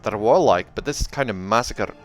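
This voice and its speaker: A man voicing a gruff, suspicious dwarf warrior in a storybook, terse and blunt, with a put-on accent. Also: on the low side, normal speed, soft background music, lightly acted. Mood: suspicious, grumbling.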